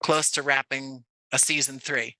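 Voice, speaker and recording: American guy being interviewed, really bright crisp tone, dry sound, talks in measured articulate phrases. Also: mid-range voice, little pitch movement, no room sound, low-quality recording. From Jersey City, United States. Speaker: podcast guest, audio play creator. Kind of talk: conversational interview.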